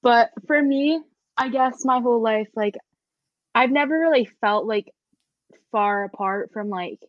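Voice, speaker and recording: A woman's voice: a little high, measured pace, no echo. A young woman chatting casually, with many "like" fillers. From United States. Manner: easygoing, frequent "like" fillers. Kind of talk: casual chat. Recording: slightly dull, dry sound.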